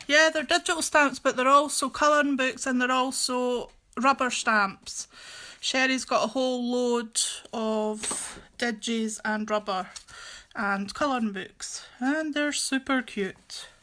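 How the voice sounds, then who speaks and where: Scottish woman, bright forceful voice, rambling casual craft chat, jokey, noisy background. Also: high-pitched, a little slow. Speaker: host of a live card-crafting stream.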